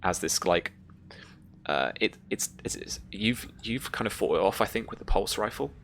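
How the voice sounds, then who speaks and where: A woman narrating a tabletop roleplaying game as game master, reading out rules and thinking aloud in a halting way. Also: rumbling low, lively intonation, vocal fry, slight background noise.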